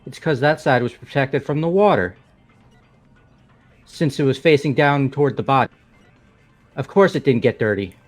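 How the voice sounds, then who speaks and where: A man's voice: medium pitch, moderate pace, pauses often, lightly acted. A man voicing a casual, plain-spoken young male student in fan-made visual novel game dialogue. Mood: reasoning. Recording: music behind the voice.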